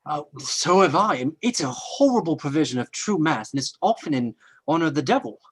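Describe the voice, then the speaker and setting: An actor playing a plain-spoken, uneasy visitor, hesitant and unpretentious, in old-time radio drama style. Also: male voice, a little high, very animated, brisk, breathy edge.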